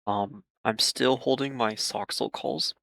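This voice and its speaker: A man talks through market commentary in a low, gravelly voice, hoarse as he loses it. His tone is bright and forward, and he paces himself with cautious ums and repeated words. The recording is dry, with no room sound. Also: steady tone, unhurried, dry recording. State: losing his voice. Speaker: market analysis host.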